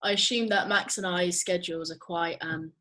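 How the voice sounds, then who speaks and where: A woman's voice: on the low side, steady tone, normal speed, pushed and bright. A woman talking casually in a group meeting as one of the actors at a table read. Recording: clear background noise with clicks.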